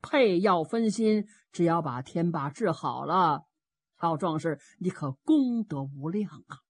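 A woman storyteller voicing a grave, courteous male official, measured and gracious, in a traditional Chinese tale. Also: fairly low pitch, lively intonation, husky, no echo, heavily acted. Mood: grave, grateful.

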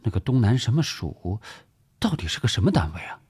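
A man's voice: fairly low pitch, sing-song, a little fast, darkish tone, husky, heavily acted. A man voicing an officer's anxious, chattering wife in an audiobook, fretful and full of exclaimed questions. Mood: shaken, agitated.

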